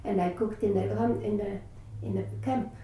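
Older Latvian-accented woman, halting and roomy. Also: medium pitch, fairly even intonation, warm tone. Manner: halting, searching for words, restarts often. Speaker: interviewee. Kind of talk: oral history interview. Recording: roomy echo, distant mic, faint hiss.

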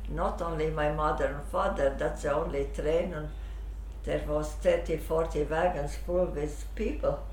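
An older man whose first language is Russian, recalling his past in halting English with many restarts. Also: on the high side, fairly even intonation, a little mellow. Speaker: interviewee. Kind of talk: oral history recollection. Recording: echoey room, faint background hum.

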